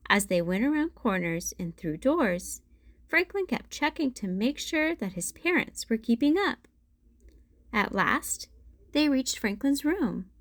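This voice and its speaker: A woman narrating a children's storybook as a read-aloud host, in a deliberate storytelling manner. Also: on the high side, dry recording.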